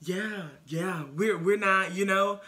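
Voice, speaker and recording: A woman's voice: mid-range voice, fairly even intonation, slow, a bit bright. An American woman in her twenties chatting casually as a vodcast co-host, making her points emphatically. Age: 20s. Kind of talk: casual chat. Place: living room couch.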